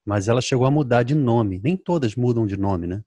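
A man in his thirties with a light Rio de Janeiro accent, hosting a podcast interview in a relaxed, curious way. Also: mid-range voice, brisk, no room sound. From Rio de Janeiro, Brazil. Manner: relaxed, curious, laughing along. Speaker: podcast host. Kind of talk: casual interview. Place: home studio with shelves. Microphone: studio mic on boom, headphones.

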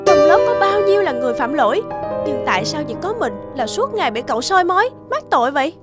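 A woman's voice: high voice, big pitch swings, fast talker, loud music behind the voice. A woman voicing a feisty, competitive teenage schoolgirl in a cartoon drama, speaking in an earnest, emphatic way. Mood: indignant. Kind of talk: animated drama dubbing.

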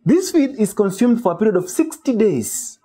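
Guy teaching a lesson, high voice, kinda husky, asks then answers his own questions. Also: sing-song, normal speed, dark tone. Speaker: poultry farming instructor. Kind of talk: step-by-step whiteboard lesson. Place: small shed with metal walls.

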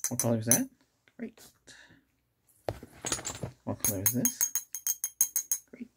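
A middle-aged man doing a soft-spoken ASMR doctor roleplay, murmuring unhurried questions close to the mic in a creaky, breathy voice. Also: mid-range voice, very animated, over music. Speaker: ASMR performer playing an ER doctor examining a patient. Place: small indoor setup with cloth backdrop.

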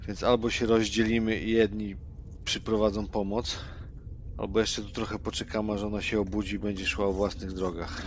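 A man's voice: fairly low pitch, level tone, normal speed, lo-fi sound, slight background noise, lightly acted. A man playing a brash, sardonic nerd in his thirties, blunt and colloquial, in a roleplaying game. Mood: blunt, impatient.

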